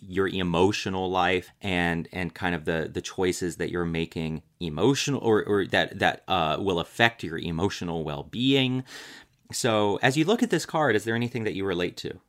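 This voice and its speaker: A man around thirty hosting a casual chat, explaining tarot cards slowly and deliberately. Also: sits low, fairly bright tone. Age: 30s. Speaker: host reading tarot. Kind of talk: casual conversation.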